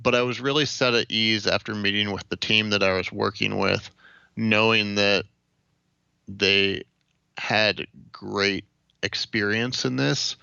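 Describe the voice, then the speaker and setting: An American man in his late twenties giving a measured, matter-of-fact account as an interview guest. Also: lowish voice, fairly even intonation, gravelly, pushed and bright, clean tone, dry recording, highs cut off. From Ohio, United States. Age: late 20s. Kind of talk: recounting personal experience in an interview.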